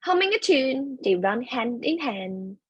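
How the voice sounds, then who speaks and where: A woman reads stage directions as scripted narration. Her voice is on the high side and a little husky, recorded close and dry. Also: sing-song, unhurried, dry recording, highs cut off.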